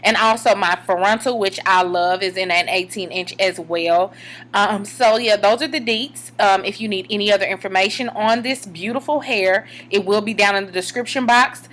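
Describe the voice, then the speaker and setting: A young woman talks through a stuffy, nasal head cold in a relaxed advice vlog. She reads viewer letters aloud and breaks in with chatty reactions. Her pitch sits in the middle for a woman. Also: normal speed. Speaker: vlogger giving advice.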